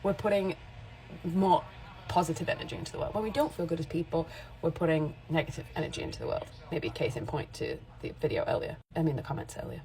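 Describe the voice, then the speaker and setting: A woman in her forties talks straight to camera in a casual, candid vlog. Her voice sits a little low and sounds mellow and relaxed. She rambles and corrects herself mid-sentence as she makes her point. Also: quick pace, vocal fry, rough recording. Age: 40s. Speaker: makeup artist and creator.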